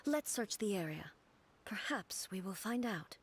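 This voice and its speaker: A woman playing a solemn, calm divine ruler in a video game cutscene, speaking in a measured, grave, soft voice. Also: mid-range voice, a little hiss or hum, lightly acted.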